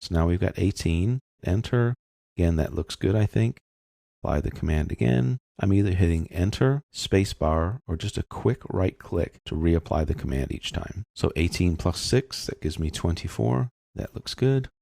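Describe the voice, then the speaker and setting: A man with a very low, creaky voice narrates a software tutorial. His tone is dark and mellow, and he explains each step informally, thinking aloud as he goes. The sound is dry and close. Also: animated, moderate pace, no echo. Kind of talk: step-by-step screencast narration, unscripted.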